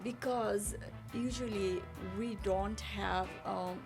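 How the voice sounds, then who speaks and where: Earnest woman from Nepal, gravelly voice. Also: mid-range voice, fairly even intonation, takes it slowly, over music. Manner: earnest, searching for words, many restarts. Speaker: guest, spa owner. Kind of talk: casual interview chat.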